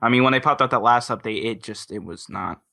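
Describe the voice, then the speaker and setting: A man co-hosting a podcast in loose, casual chat. His mid-pitched voice has a very bright, forceful edge to it. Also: a little fast, gravelly.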